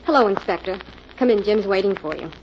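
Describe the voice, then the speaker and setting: An actress playing a sympathetic, inquisitive assistant to a sleuth in an old-time radio detective drama. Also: female voice, mid-range voice, lively intonation, quick pace, natural voice. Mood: sympathetic, curious. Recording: muffled old radio recording, clear noise.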